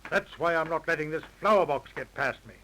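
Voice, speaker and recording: An actor playing a shrewd, self-assured police detective in a 1930s radio crime drama. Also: male voice, on the high side, fairly quick, bright, forceful tone, slight background noise, low-quality recording. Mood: confident. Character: confident, shrewd, persistent male police detective. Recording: vintage 1930s radio recording.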